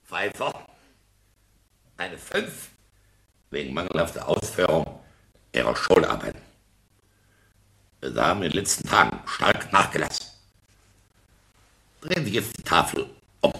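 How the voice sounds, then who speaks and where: An actor playing a pompous, irascible professor in a radio comedy, pedantic and halting, blustering when challenged. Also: male voice, sits low, sing-song, creaky, bright, forceful tone, full character voice. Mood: stern, pedantic. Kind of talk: German radio comedy drama acting.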